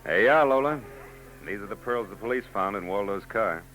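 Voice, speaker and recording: An actor playing a world-weary private eye with a laconic, hardboiled delivery, in 1940s radio-drama style. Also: male voice, on the low side, animated, normal speed, a bit bright, soft background music, low-quality recording. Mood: gentle.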